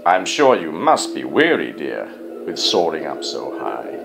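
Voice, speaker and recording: A man voicing a cunning male spider in a storybook poem, flattering and wheedling with courteous charm. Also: a bass voice, a little slow, creaky, background noise, voice pushed down, heavily acted. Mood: luring. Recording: music behind the voice.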